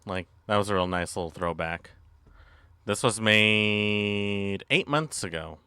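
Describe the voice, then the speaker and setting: A man streaming and chatting casually as he reacts to videos, with halting, drawn-out phrasing. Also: lowish voice, sharp tone, clean tone, dry recording, studio quality.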